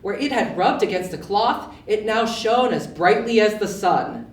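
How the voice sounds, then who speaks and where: A woman's voice: mid-range voice, lively intonation, a little slow, a little hiss or hum. A woman narrating a children's storybook as a scripted readalong. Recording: roomy, distant mic.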